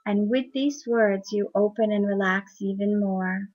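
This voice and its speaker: Calm woman guiding a meditation, really slow, soft, muffled lo-fi audio. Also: medium pitch. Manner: soft, soothing, unhurried. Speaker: meditation guide. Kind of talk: guided meditation narration. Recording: dull lo-fi recording.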